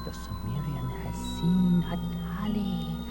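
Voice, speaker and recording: An old Norse warrior of an audio drama, half-mad and fervid. His high voice swoops widely as he draws out his haunted tale slowly over clear background music. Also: lively intonation. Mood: haunted, feverish. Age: older. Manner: theatrical, drawn-out storytelling.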